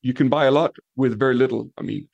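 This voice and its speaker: A man from Denmark who runs a property management company, explaining in a relaxed, practical way with examples in a podcast interview. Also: medium pitch, fast talker, creaky, no room sound, lo-fi sound.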